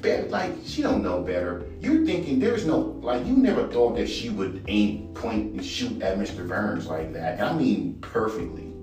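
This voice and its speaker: A man with a baritone voice ranting casually and profanely as a podcast host, rambling and animated. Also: normal speed, few pauses. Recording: echoey room, far from mic, music behind.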